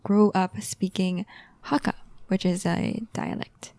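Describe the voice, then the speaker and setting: A young woman co-hosting a casual podcast chat, talking softly and often dropping to a near whisper. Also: mid-range voice, level tone, slow, dry recording. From family of Chinese ancestry raised in India. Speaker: podcast co-host.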